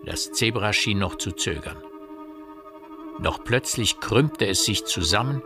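A man narrating a literary radio drama in a grave, measured manner. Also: on the low side, expressive. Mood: solemn. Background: synthesizer music.

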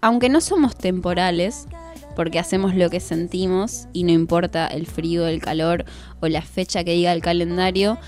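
A woman's voice: fairly low pitch, steady tone, normal speed, darkish tone. Woman, twenties, Argentine accent, radio host, poetic conversational talk, music underneath. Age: 20s.

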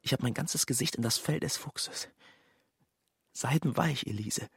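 An actor playing a haunted, dreamy hunter's son in a poetic radio monologue, absorbed and halting. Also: male voice, mid-range voice, vocal fry, husky, dry recording, clean studio sound, full character voice. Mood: rapt, dreamy. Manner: absorbed, halting, broken-off sentences.

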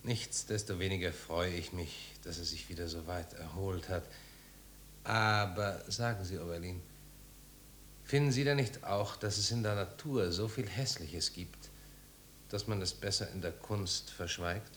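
An actor playing an urbane, sceptical guest in a 1950s German radio drama, polite and argumentative. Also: male voice, low-pitched, unhurried, fairly bright tone. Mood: politely sceptical. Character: urbane, sceptical male guest debating art. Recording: noisy 1950s radio recording.

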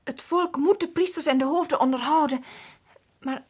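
An actress playing a timid, deferential village maidservant in a radio drama, speaking hesitantly. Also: female voice, high-pitched, moderate pace, a little mellow, lightly acted. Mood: earnest.

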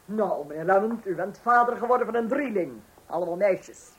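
An actress playing a brisk, courteous maternity nurse in a Dutch radio play. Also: female voice, medium pitch, expressive, close to an everyday voice, poor audio. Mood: brisk, bright. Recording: old radio play with faint noise.

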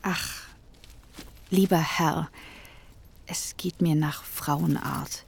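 A woman's voice: deep voice, fairly even intonation, very slow, creaky, slight background noise, heavily acted. An actress playing a demure young woman who feigns feeling unwell, polite and formal, in a literary radio drama. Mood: demure, apologetic. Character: demure young woman feigning illness before her father.

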